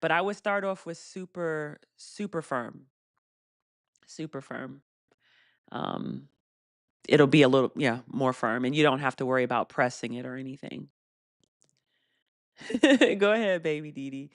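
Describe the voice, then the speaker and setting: A woman with a fairly deep voice hosting a casual live stream Q&A. She laughs often and reads viewer comments aloud. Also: measured pace, pauses often, dry recording, clean studio sound. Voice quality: deeper voice for a woman.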